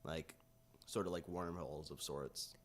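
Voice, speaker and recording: A man's voice: rumbling low, steady tone, unhurried, slight background noise. A man co-hosting a talk show, chatting and explaining in a casual way. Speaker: co-host of an entertainment talk show.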